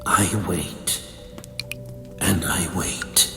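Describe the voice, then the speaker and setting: A man's voice: sits low, extremely slow, vocal fry, heavily acted. A man playing a cold, bitter, cerebral supervillain in a comic dub, speaking in a theatrical, condescending, verbose manner. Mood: brooding, icy. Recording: echoey hall reverb, faint music behind.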